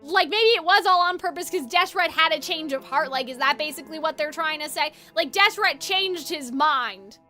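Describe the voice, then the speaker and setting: A woman's voice: high-pitched, normal speed, hardly any pauses, pushed and bright. A woman game streamer chatting casually, musing aloud in a rambling way over game music. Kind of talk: casual livestream chat. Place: livestream over a video game.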